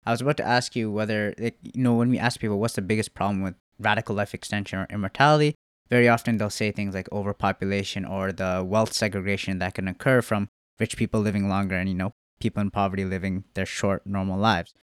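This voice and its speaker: Smooth, low-voiced male podcast host. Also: steady tone, quick pace, dry recording, studio quality. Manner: long, winding questions. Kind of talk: conversational interview questions.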